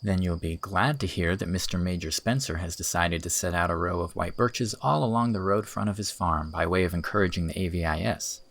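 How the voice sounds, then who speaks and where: A man's voice: low voice, brisk, vocal fry, few pauses, fairly bright tone, dry recording, full character voice. A man playing an earnest, teasing young village schoolteacher in audiobook dialogue, articulate and enthusiastic. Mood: keen, optimistic.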